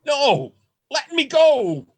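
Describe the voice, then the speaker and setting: A man's voice: extremely high pitch, huge pitch swings, heavily acted. An actor playing a sensitive, desperate man in a radio play, narrating his last journal with earnest melodrama. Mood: panicked, pleading. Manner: earnest, melodramatic, deliberate delivery.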